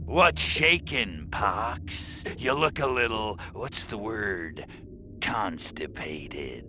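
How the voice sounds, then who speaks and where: A man's voice: on the low side, animated, drawn-out words, noisy background. A man voicing a cocky, hot-headed alien invader in a video game, wisecracking like a brash tough guy. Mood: sardonic. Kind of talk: video game cutscene acting.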